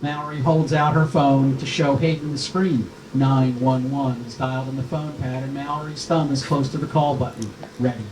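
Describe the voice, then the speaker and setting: A man's voice: medium pitch, steady tone, normal speed, few pauses. A man reading stage directions as scripted narration for a screenplay. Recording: roomy, echoing, clearly noisy recording.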